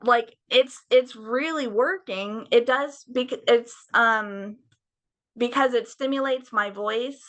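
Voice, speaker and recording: A young woman talking frankly in a casual interview, swearing freely, with a slightly raised, raspy voice. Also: on the high side, slow pace, fairly bright tone. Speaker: podcast guest.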